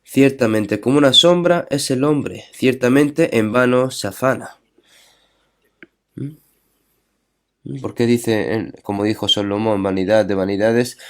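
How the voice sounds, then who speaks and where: A man's voice: mid-range voice, measured pace, pauses often. A man preaching a sermon. He reads scripture aloud, asks his listeners rhetorical questions, and keeps checking in with them through short tag questions.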